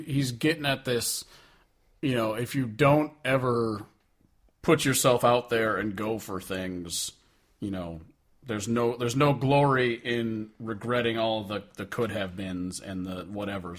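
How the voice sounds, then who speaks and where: A man with an average-pitched voice talks as a podcast co-host. His delivery is casual and blunt, with joking remarks and frequent profanity. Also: measured pace, a bit bright. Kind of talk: casual chat.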